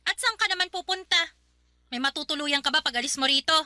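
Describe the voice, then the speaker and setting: High-pitched, scolding Filipino older sister. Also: female voice, quick pace, sharp tone, no echo, clean studio sound. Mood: sharp, sarcastic. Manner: sarcastic, nagging, confrontational. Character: sharp-tongued, scolding older sister of twenty-nine. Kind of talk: Filipino radio drama acting.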